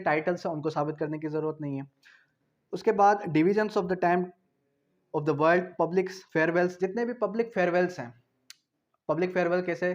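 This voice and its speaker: A man's voice: high voice, darkish tone, muffled recording. A man teaching law in an explanatory lecture, switching into English terms and repeating key phrases with emphasis.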